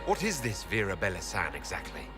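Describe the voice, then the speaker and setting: Fantasy game hero, guarded, resolute, firm, formal, swinging intonation, music behind. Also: male voice, deep voice, huge pitch swings, brisk. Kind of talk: fantasy video game acting.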